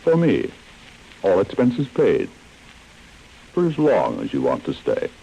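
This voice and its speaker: Very deep, gravelly radio villain. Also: male voice, huge pitch swings, dark tone, highs cut off, heavily acted. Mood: smoothly menacing. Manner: measured, falsely polite. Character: smooth, menacing male henchman boss, politely threatening. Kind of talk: 1940s radio acting. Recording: noisy vintage radio recording.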